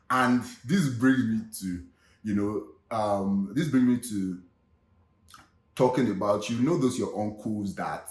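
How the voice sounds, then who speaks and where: Chatty young Nigerian man, echoey room. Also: lowish voice. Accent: Nigerian Yoruba. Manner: chatty, with many fillers like "you know" and "you get". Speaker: vlog host. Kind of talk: casual comedic monologue.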